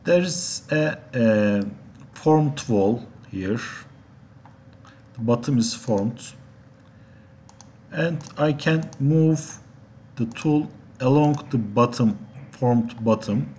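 Man, software instructor, slow halting delivery, medium pitch, faint noise with typing behind. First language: Turkish. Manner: halting, step-by-step explaining. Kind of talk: software tutorial narration. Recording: steady background noise, keyboard clicks.

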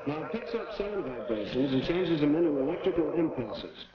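A man's voice: fairly high pitch, big pitch swings, moderate pace, dull audio. An actor playing a patient young American man who explains science didactically in a 1940s instructional film. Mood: patient, instructive. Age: young adult. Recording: noisy 1940s film soundtrack.

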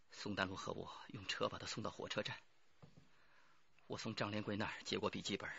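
沙哑疲惫的男嫌犯. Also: male voice, fairly low pitch, bright, forceful tone, dull audio, heavily acted. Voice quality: husky, gravelly. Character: weary, defeated male criminal suspect confessing under interrogation. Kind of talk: radio drama acting.

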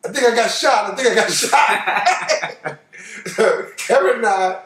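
An older American man with a high voice, spinning a tale in loose, colloquial speech. He repeats phrases to hammer them home. He sounds set back from the microphone in a roomy space. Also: lively intonation, unhurried, a bit bright, recorded from a distance. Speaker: storyteller. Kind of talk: animated personal storytelling, casual. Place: living room couch, indoors.

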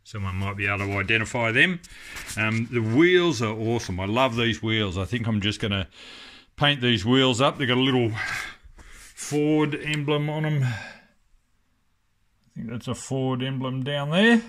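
Bright-voiced man in his garage. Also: medium pitch, normal speed, slight background noise. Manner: relaxed, rambling, thinking aloud with many ums. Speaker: car restorer. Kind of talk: casual workshop commentary. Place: home garage workshop, indoors.

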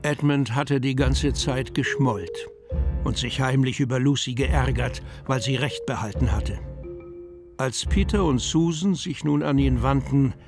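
A man's voice: mid-range voice, measured pace, music in the background. A man narrating a children's audio drama in scripted storybook style.

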